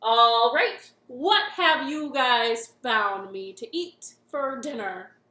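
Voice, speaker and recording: A woman voicing a grumpy, hungry male monster king who makes loud, bossy demands, in a roomy echo. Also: a little high, animated, slow, heavily acted.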